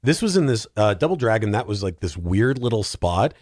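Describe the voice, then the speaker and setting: A man guesting on a podcast as a film reviewer, chatting casually and joking around. His pitch is lowish but swings widely and expressively, and the sound is close and dry. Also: brisk, dry recording. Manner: animated, joking.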